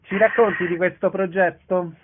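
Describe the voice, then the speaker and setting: A man's voice: sits high, steady tone, moderate pace, noisy background. A man hosting a radio interview, asking brief friendly questions and wrapping up with a promotional reminder. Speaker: radio host. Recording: lo-fi narrow radio sound.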